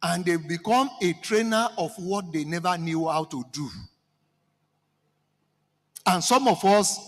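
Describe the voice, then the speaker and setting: High-voiced Nigerian man in an echoing hall. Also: measured pace. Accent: Nigerian, Yoruba-speaking. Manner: animated, rhetorical questions to the crowd, mixing in Yoruba. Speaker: seminar participant speaking to the floor. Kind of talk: impassioned address to a seminar audience.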